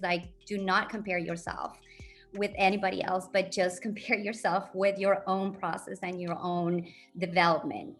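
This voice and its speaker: A middle-aged woman talks at a moderate pitch, earnest and reflective. She hesitates often and fills her pauses with ums as she gives advice. Her voice comes through a video call, with faint music behind. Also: a bit bright. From Colombia. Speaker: university diversity officer, guest. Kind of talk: guest telling her story and giving advice.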